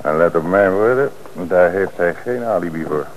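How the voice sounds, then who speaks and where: A deep-voiced, measured commissioner. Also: male voice, expressive, a little hiss or hum, low-quality recording. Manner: measured, authoritative. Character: calm, shrewd male police commissioner. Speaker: police commissioner. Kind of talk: radio drama acting.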